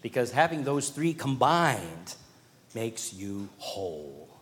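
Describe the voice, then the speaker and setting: A man preaching a sermon from the pulpit. His delivery rises to emphatic points and falls to hushed, earnest lines. His voice carries a long reverb from a large hall. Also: mid-range voice, sing-song, takes it slowly. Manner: preaching with swells of emphasis and quiet drops. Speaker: pastor.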